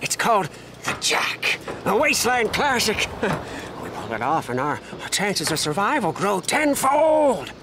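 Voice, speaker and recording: An actor playing a manic, devout wasteland car mechanic in a video game, babbling and stammering with zealous reverence. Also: male voice, sits high, big pitch swings, unhurried, background noise, heavily acted. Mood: gleeful, eager. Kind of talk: theatrical video game character acting.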